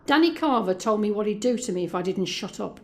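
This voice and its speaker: A woman voicing a traumatised, vengeful middle-aged mother, flat, hushed and bitter, in an audio drama. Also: medium pitch, fast, natural voice. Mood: stony, wounded. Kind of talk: recounted dialogue in audio drama.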